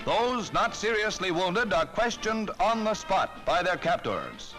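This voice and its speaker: A man's voice: high-pitched, very animated, measured pace, sharp tone, low-quality recording. A man with an American accent narrating a 1940s newsreel in a rousing, declamatory, patriotic way, on a vintage soundtrack. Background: music.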